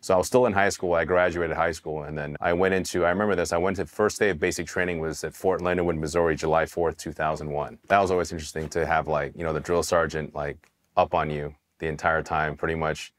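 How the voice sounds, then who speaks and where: A man in his thirties, from Taiwan and raised in California, telling his Army story in a candid, relaxed interview with casual swearing and frequent restarts. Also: a bass voice, fast talker. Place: dark studio set, indoors. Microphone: hidden mic, seated on camera.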